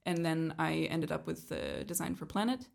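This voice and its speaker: A young woman raised in Canada, chatting as a podcast guest about her work, often pausing with ums and restarting sentences. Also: low voice, wildly swooping pitch, creaky. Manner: hesitant, many ums and restarts. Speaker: guest, project leader. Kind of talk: casual interview chat.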